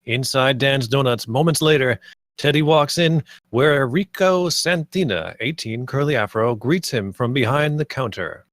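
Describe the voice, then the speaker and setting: A man's voice: mid-range voice, a little slow, fairly bright tone, no room sound, clean studio sound. A man reading stage directions as scripted narration at a screenplay table read.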